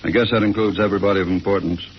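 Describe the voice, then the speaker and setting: Man acting a brisk, officious army general. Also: lowish voice, steady tone, lightly acted. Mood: commanding, tense. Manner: clipped, commanding, businesslike. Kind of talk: 1950s radio drama acting. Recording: muffled, very noisy old radio broadcast.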